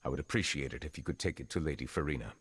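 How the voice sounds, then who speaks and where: Solemn, very deep-voiced chief justice. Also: male voice, fairly bright tone, breathy edge, heavily acted. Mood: formal, reserved. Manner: measured, formal, stately diction. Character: solemn, formal male chief justice, centuries old, reserved. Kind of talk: fantasy video game voice acting.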